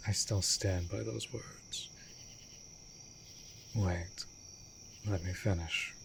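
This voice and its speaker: Man acting a slow, low-voiced immortal vampire lover. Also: exaggerated voice. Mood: grave, confessing. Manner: formal archaic wording, slow and deliberate, often dropping to breathy whisper. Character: immortal male vampire master, tender, brooding, lonely lover. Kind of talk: scripted romantic fantasy audio roleplay. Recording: steady background noise of night crickets. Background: night insects and crickets.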